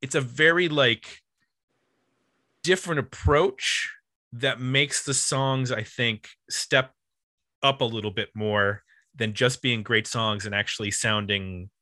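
Man, medium pitch, bright edge, dry sound, casual music podcast host, loose rambling delivery. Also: no room sound. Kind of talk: casual album discussion.

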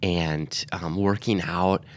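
A man's voice: low voice, steady tone, slow pace. A man hosting a casual interview, reflecting on what his guest said and drawing lessons from it. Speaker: podcast host.

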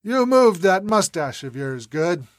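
A woman's voice: on the low side, sing-song, measured pace, a little hiss or hum. A woman voicing a hulking male terrorist leader who sneers and draws out taunting threats. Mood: menacing.

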